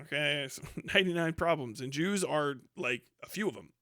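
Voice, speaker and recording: A man's voice: fairly high pitch, moderate pace, pushed and bright, dry recording, clean studio sound. A man doing casual, off-the-cuff live commentary on the news in a blunt, sardonic, rambling and argumentative way, with some swearing.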